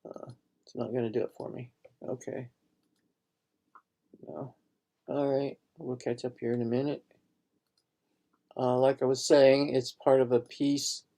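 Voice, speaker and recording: A man's voice: medium pitch, flat delivery, unhurried, long pauses, dark tone. A man, a painter, casually walking viewers through his own online exhibition, rambling and thinking aloud with restarts. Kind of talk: casual live gallery tour.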